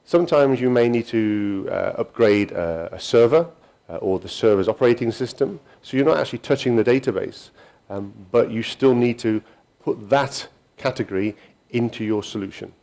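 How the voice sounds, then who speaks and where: A middle-aged man giving a technical conference talk in a hall, pausing often with ums and restarting sentences. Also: mid-range voice, gravelly, a little mellow. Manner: halting, many ums and restarts. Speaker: conference speaker.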